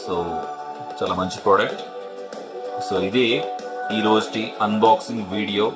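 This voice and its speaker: A man's voice: fairly low pitch, steady tone, moderate pace, loud music behind the voice. A man narrates a casual unboxing and demo as the host, explaining in a repetitive way and mixing in English terms. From India. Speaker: product review host.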